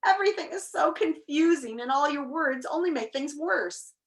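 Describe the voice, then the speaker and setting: A woman voicing a polite, puzzled and earnest young boy in a storybook, often gently protesting. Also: high-pitched, lively intonation, normal speed, echoey room, pitched above the performer's own voice. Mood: confused protest.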